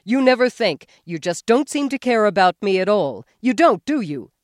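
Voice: medium pitch, very animated, takes it slowly, dry recording, studio quality, pitched above the performer's own voice. A reader voicing a demanding, hysterical middle-aged husband who whines and accuses. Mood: shrill complaint. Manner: complaining, accusing, rising to yells.

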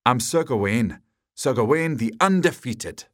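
A man acts out a vain storybook knight, pompous and very pleased with himself. He brags with big swings in pitch, in a dry, close recording. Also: sing-song, unhurried, fairly bright tone, no room sound. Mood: self-satisfied. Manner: pompous, bragging. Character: boastful, self-satisfied male knight, triumphant and vain.

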